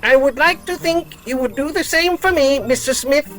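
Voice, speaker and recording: Robot dog, obedient and literal-minded, high pitch, for audio drama. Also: male voice, normal speed, fairly bright tone, faint music behind. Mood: eager, obedient. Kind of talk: fan audio drama acting.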